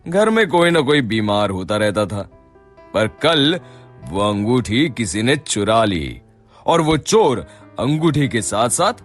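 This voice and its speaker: A man playing a shrewd, wealthy shop boss in a Hindi moral-story cartoon, with a measured, theatrical way of talking. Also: mid-range voice, sing-song, faint music behind.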